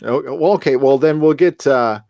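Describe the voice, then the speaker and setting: A man hosting a podcast in casual chat, with a halting, stammering, jokey delivery. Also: mid-range voice, mellow, no echo. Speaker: podcast host.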